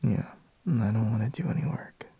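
Man acting as drowsy boyfriend, ASMR roleplay, soft mellow half-whisper, gravelly, unhurried, reluctant and teasing. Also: lowish voice, expressive, dry recording, dull audio, heavily acted. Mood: drowsy, coaxing. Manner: slow, drowsy murmur with sighs and pauses. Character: sleepy, reluctant, teasing adult boyfriend just waking up.

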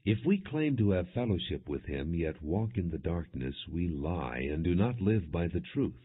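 A man narrating an audio Bible in a measured, solemn reading with deliberate phrasing. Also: low-pitched, big pitch swings, breathy edge. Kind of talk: non-dramatized Bible reading. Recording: muffled, phone-like narrow-band audio.

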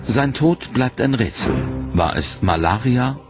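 A man narrating a history documentary in a measured, solemn scripted voice-over. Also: mid-range voice, animated. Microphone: studio voice-over. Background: loud dramatic music.

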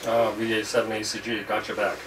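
A man's voice: on the low side, little pitch movement, quick pace. Man in his fifties, ham radio operator, casual commentary, far from the mic, heavy noise. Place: small radio shack room indoors. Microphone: camera across the room. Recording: noisy room, distant camera microphone. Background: loud hiss and radio noise.

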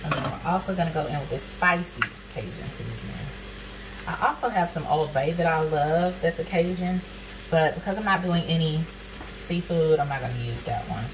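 A woman's voice: low-pitched, moderate pace. A young woman, chatting casually through a cooking demo in her home kitchen and calling viewers honey and sis. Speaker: cooking vlogger. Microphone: camera nearby, speaker off to the side. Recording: kitchen with frying noise. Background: sizzling pan and stirring.

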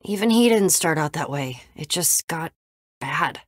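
A woman playing a guarded, sensitive young woman adventurer in a video game, with halting, emotional, conversational delivery. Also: sits low, expressive, slightly rough, no echo, clean studio sound, full character voice. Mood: hurt, regretful.

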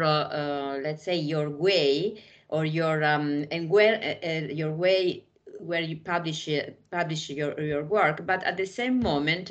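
A woman's voice: lowish voice, lo-fi sound. A woman presenting an online art training course over a video call, speaking hesitantly with many fillers and restarts in English that is not her first language. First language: Italian. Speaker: webinar trainer.

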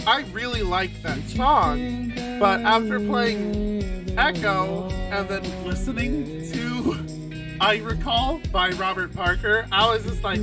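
A streamer chats casually in a mid-range voice over loud guitar music. The delivery is emotional and unguarded, with free swearing. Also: mid-range voice. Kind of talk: casual livestream chat. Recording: music under the voice.

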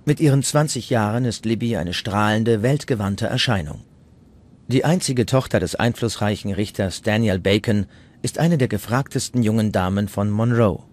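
A man's voice: fairly low pitch, normal speed, a little mellow. A man narrating a historical documentary from a script. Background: music.

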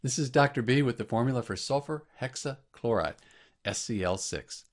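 A man narrating an educational lesson as a chemistry teacher, explaining clearly step by step. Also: medium pitch, lively intonation, moderate pace.

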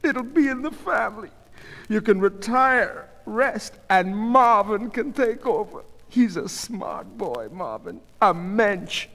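An older wife, acted out by a male story reader, fretful and pleading, her pitch pushed high and swinging. She fusses in short exclamations that ring in a reverberant hall. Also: lively intonation, takes it slowly, bright, forceful tone, heavily acted. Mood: fussing, soothing. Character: excitable, anxious older wife, fretting and fussing.

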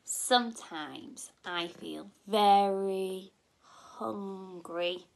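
A young woman, a nursery teacher, reading a children's story expressively and gently, as if to small children. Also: medium pitch, very slow, gravelly. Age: young adult. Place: indoor room at home.

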